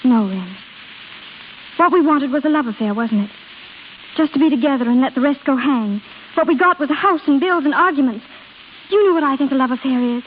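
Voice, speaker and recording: An actress playing an independent young estranged wife in an old radio drama, earnest and halting, wistful but firm. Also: female voice, high voice, expressive. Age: young adult. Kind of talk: 1930s-40s American radio drama acting. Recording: scratchy, hissy old radio broadcast, phone-like.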